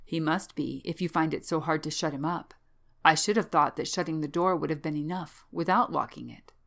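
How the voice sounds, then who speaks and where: Woman as a low, brusque young male student. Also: a little fast, vocal fry, a bit bright. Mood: probing, suspicious. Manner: dry, curt, dismissive. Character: young male medical student, sceptical, brusque, level-headed. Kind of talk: audiobook character voice.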